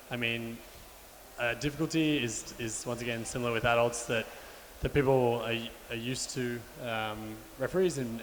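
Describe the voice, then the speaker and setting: A young man coaching youth teams, speaking on a panel in a relaxed way with many "um"s. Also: medium pitch. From Australia. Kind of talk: panel discussion. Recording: reverberant hall with faint noise.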